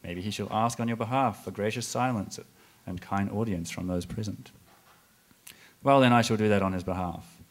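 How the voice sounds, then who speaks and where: A man reading the part of a scornful male prologue speaker in a live stage comedy, loud and theatrical, scolding and mocking. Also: lowish voice, normal speed, lightly acted. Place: live performance space with audience.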